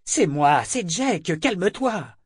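A man's voice: sits high, very animated, takes it slowly, breathy edge, dry recording, studio quality, pitched above the performer's own voice, full character voice. A man voicing a strong, imposing woman who speaks in an imperious, urgent way. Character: strong, imposing blonde woman, fierce and commanding.

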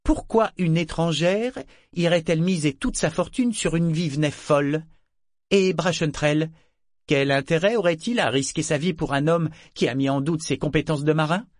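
A man's voice: on the high side, normal speed, no echo, studio quality, voice pushed up, natural voice. A man voicing a wary, sceptical grown woman in an audiobook. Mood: sceptical, worried. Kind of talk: audiobook character dialogue.

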